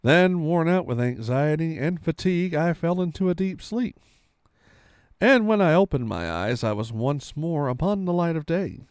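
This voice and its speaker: A man's voice: on the high side, big pitch swings, moderate pace, darkish tone, clear, resonant tone, no room sound. A man reading a storybook aloud with dramatic, theatrical delivery, sometimes stumbling and correcting himself.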